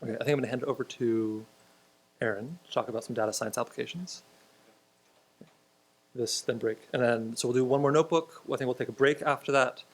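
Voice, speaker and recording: A man's voice: medium pitch, steady tone, brisk, long pauses, poor audio. A man teaching a conference programming tutorial, explaining step by step and repeating audience questions aloud. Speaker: tutorial instructor. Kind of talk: live technical tutorial, answering questions.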